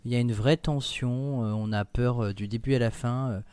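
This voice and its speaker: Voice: medium pitch, fairly even intonation, moderate pace, warm tone, clear, resonant tone, no room sound. A podcast panelist giving a casual, appreciative review in a hesitant way.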